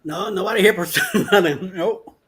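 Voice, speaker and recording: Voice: mid-range voice, brisk, a bit bright, husky. A livestream panelist chatting casually in short, informal remarks. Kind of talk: casual chat.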